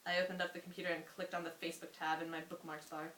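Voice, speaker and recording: A woman's voice: fairly low pitch, little pitch movement, racing, a bit bright. A woman reading a story aloud informally, as an amateur, prone to giggly asides. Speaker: amateur reader. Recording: roomy echo, faint hiss.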